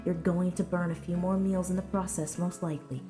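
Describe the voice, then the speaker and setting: A woman voicing a gentle, sensible female pony who reassures a friend in an earnest, measured, encouraging way in a story reading. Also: lowish voice, fairly even intonation, over music, close to an everyday voice. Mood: gently encouraging.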